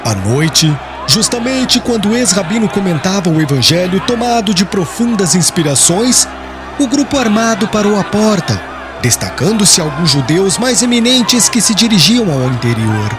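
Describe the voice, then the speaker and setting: A man narrating an audiobook in a solemn, formal storytelling manner. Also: sits high. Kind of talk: scripted literary narration. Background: music.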